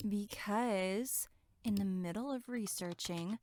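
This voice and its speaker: A woman's voice: mid-range voice, slow, a little mellow, a little hiss or hum, natural voice. A woman acting in an audio drama as a flippant young camp counselor, with a sarcastic, blunt and casual way of talking.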